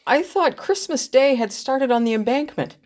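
A fussy sceptical editor lady, dry and pointed, pitch goes up and down a lot. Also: expressive, normal speed, a little mellow. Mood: exasperated, resigned. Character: sceptical, practical female editor critiquing a story.

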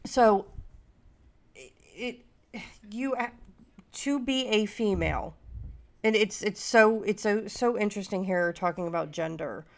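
A woman's voice: mid-range voice, takes it slowly, gravelly, long pauses. A middle-aged woman hosting a podcast, talking casually, nasal and stuffy from a cold, rambling with many fillers. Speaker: podcast host.

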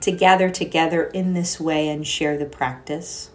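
A woman leading a guided meditation as a teacher, speaking in a gentle, soothing, unhurried way with long pauses. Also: low-pitched, fairly even intonation. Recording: soft music behind the voice. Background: music.

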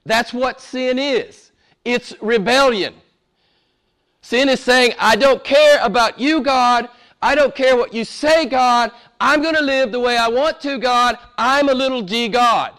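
A man preaching a sermon with fervor, hoarse from a cold and losing his voice, dropping to a hush and rising to shouts. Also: very high voice, unhurried. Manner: fervent, swings from hushed to shouting. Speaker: pastor.

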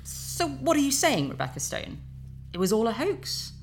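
A woman playing a smug, manipulative, warmongering talk-show host in a sci-fi audio drama, with pompous, emphatic broadcast patter. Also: fairly high pitch, sing-song, normal speed, soft background music. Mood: smooth, leading.

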